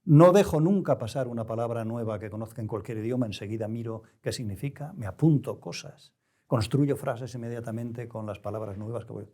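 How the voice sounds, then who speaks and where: An older man with a European Spanish accent speaking reflectively in an interview, deliberate and halting, choosing erudite words with care. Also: mid-range voice, warm tone. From Spain. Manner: deliberate, halting, with erudite careful word choice. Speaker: interview guest, novelist.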